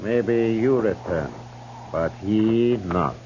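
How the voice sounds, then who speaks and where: Stern, terse Arapaho leader on old radio. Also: male voice, fairly low pitch, slow pace, dark tone, slight background noise. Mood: impatient, threatening. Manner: terse, solemn, clipped broken-English phrasing. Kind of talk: 1950s western radio drama acting. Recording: dull, humming 1950s radio recording.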